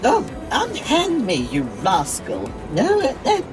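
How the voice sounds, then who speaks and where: A man playing a coy, theatrical fake damsel in falsetto in a comic sci-fi audio drama. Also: huge pitch swings, slow pace, over music, exaggerated voice. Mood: mock outrage.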